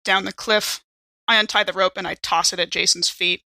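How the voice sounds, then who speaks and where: A woman playing a tabletop role-playing game, brisk and decisive, moving between casual talk and character lines. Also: medium pitch, fairly even intonation, creaky, bright, forceful tone, dry recording.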